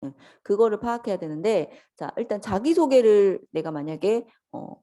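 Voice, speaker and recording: A woman lecturer with a voice hoarse from a cold, politely explaining things step by step to students in a conversational lecture style. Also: mid-range voice, expressive, normal speed, dark tone. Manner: polite and instructional, addressing students directly. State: hoarse from a lingering sore-throat cold. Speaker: lecturer teaching an office software course.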